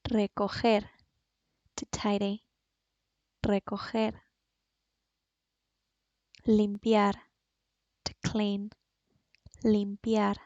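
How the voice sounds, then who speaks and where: A woman with a Spanish accent, a native Spanish speaker, teaching Spanish as a language teacher and pronouncing each word slowly and clearly. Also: medium pitch, completely flat delivery, darkish tone, no room sound. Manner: slow, clearly enunciated word drills. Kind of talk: language lesson. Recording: dull, dry voice-over.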